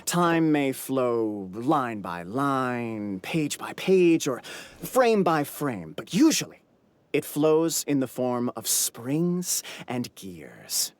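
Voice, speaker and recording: A man's voice: mid-range voice, very animated, takes it slowly. An actor playing a pompous, wordy clansman proud of his ancestry in a video game, with music behind him. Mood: grandiose. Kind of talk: theatrical video game character acting.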